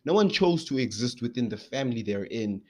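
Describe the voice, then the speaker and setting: A man's voice: medium pitch, lively intonation, brisk, darkish tone. A young man, hosting his own faith podcast while a bit sick, talking casually with many fillers and restarts. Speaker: podcast host.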